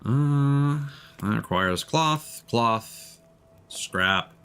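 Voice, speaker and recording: A man's voice: medium pitch, expressive, very slow, a bit bright, soft background music. A man doing casual live gameplay commentary as a streamer, musing and thinking aloud. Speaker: game streamer.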